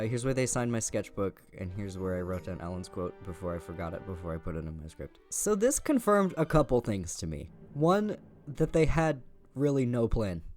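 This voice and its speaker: A man's voice: fairly low pitch, a little mellow. A man narrating a scripted video essay in an informal, opinionated way, with casual asides and the odd swear word.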